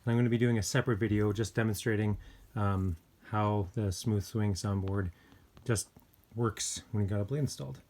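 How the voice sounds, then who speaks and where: A man giving a casual hands-on gadget review, speaking informally and hesitantly with pauses mid-thought. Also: fairly low pitch, fairly even intonation, a little mellow. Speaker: product reviewer. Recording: clear background noise with clicks.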